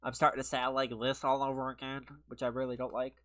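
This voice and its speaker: A man's voice: mid-range voice, completely flat delivery, fast, fairly bright tone. A man who is a little sick and sniffly, chatting casually on a game livestream, rambling and laughing as he answers viewers. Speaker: streamer. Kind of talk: casual livestream chat while gaming, replying to viewers.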